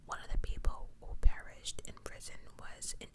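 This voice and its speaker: A woman whispering true-crime ASMR in a casual, chatty way, often correcting herself and adding opinionated asides. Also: moderate pace. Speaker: ASMR video host.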